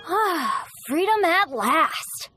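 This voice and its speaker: A woman voicing an earnest, excitable teenage boy adventurer in a video game, boastful yet kind, with a boyishly dramatic delivery. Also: very high-pitched, very slow, soft background music, full character voice. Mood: indignant, apologetic.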